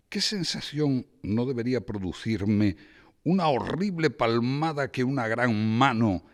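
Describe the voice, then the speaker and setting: A man giving a theatrical reading of a satirical essay, with expressive, dramatic phrasing and ironic asides. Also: fairly low pitch. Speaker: reader of a literary essay.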